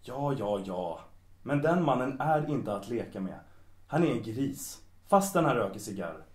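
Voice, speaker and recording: Guy acting a young protective son in a radio play, hot headed, lively up and down voice, roomy echo. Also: mid-range voice, natural voice. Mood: worried. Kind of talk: Swedish audio drama acting. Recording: roomy, echoing interior sound.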